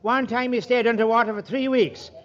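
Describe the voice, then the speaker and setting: A man's voice: extremely high pitch, fairly even intonation, fairly quick, very noisy. An actor playing a chatty, folksy bartender who loves his own corny jokes, in a 1940s radio comedy. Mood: jovial, self-amused. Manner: folksy, self-amused. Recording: muffled old radio broadcast, studio audience. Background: studio audience.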